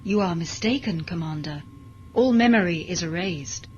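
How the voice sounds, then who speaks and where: A woman's voice: on the low side, lively intonation, normal speed, highs cut off, a little hiss or hum, lightly acted. An actress playing an obedient, emotionless bionic woman who speaks formally and precisely in a science fiction audio drama. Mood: detached. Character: obedient, emotionless bionic female servant with erased memory.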